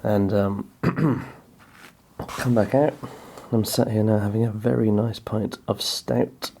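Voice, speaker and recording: Low, gravelly, rambling podcast host. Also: male voice, little pitch movement, takes it slowly, dark tone, a little hiss or hum. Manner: rambling, chatty, self-deprecating. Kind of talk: casual chat. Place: small home-built pub in a garden outbuilding.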